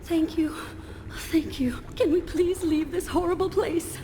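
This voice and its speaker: High-pitched rattled young-sounding thief. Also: female voice, steady tone, unhurried, background noise. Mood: relieved. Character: frightened rescued woman thief, rattled but talkative. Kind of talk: video game voice acting.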